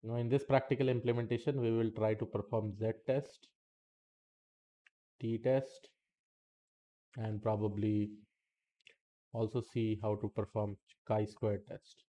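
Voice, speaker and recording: A man's voice: on the low side, little pitch movement, unhurried, long pauses, dark tone. A man teaching statistics in a live-streamed lesson, chatty and talking to the chat between points, saying okay often.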